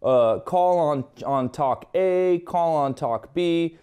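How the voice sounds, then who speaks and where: A man with a fairly high, clear voice walks through a technical tutorial. He explains each step informally and conversationally, like a hands-on instructor. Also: slow, warm tone. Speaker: instructor presenting an equipment tutorial.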